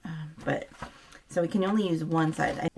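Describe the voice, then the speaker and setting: A woman's voice: low voice, steady tone, moderate pace, darkish tone, slight background noise. A woman chatting casually through a craft project, thinking aloud and correcting herself as she works. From United States. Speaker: craft channel host. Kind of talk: casual craft-along chat, narrating while working.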